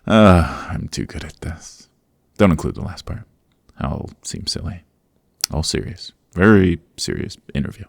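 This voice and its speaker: A man playing a playful, theatrical vampire over a century old in a chatty ASMR roleplay, with a gravelly, husky voice and rambling asides. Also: deep voice, unhurried, no echo, studio quality, heavily acted. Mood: playful, hospitable. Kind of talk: ASMR roleplay, close and conversational. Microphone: close, dry microphone.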